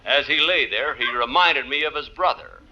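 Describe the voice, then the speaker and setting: A man playing a town marshal who narrates with wry, mock-solemn deadpan in an American radio comedy. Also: mid-range voice. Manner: deadpan, measured comic pauses. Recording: muffled, noisy old radio recording.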